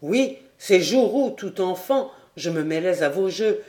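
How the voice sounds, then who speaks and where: A woman's voice: lowish voice, darkish tone, natural voice. A woman voicing an earnest, resolute young nobleman who declares his feelings in a storybook reading.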